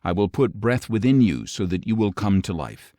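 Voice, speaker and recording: A man acts out a solemn, commanding God in an audio Bible. The voice is low and grave, declaiming in measured phrases that rise and fall widely. The sound is dry and close. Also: sing-song, dark tone, no room sound. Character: commanding, solemn male God speaking prophecy. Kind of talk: audio Bible scripture reading.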